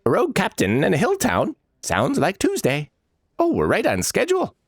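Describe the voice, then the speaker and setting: Man putting on a high-pitched, theatrical eccentric adventurer. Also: huge pitch swings, normal speed, gravelly, no room sound, heavily acted. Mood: amused, flippant. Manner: flippant, theatrical, lilting. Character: theatrical, breezy, eccentric male adventurer with pocket watch.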